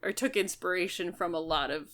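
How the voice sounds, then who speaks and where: A woman's voice: fairly low pitch, a little fast, a bit bright. A woman co-hosting a podcast in casual chat, rambling on enthusiastically and piling detail onto detail. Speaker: podcast co-host.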